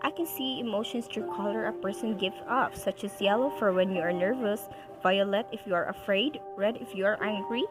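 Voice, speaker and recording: A woman's voice: mid-range voice, a little fast, lightly acted. A woman playing a cryptic, insistent female student in an amateur radio drama. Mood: insistent, explaining. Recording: music behind the voice.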